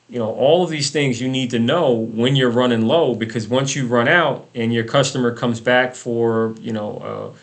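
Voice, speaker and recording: A man's voice: mid-range voice, creaky, fairly bright tone. A man hosting a conversational radio interview show, repeating words and phrases for emphasis. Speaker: radio talk show host.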